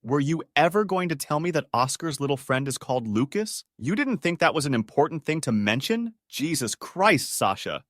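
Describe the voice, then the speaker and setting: A man's voice: on the high side, wildly swooping pitch, brisk, no echo, studio quality, voice pushed up, full character voice. A man voicing an angry, menacing husband and father who snaps and sneers in a dramatic story. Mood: angry, accusing. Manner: shouting, snapping, sneering.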